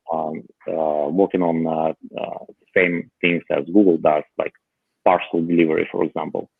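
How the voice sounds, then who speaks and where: A man chatting casually about home gadgets as a podcast panelist, halting often with fillers and restarts. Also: sits low, creaky, dry recording. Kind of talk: casual tech chat. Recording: tinny phone-like line.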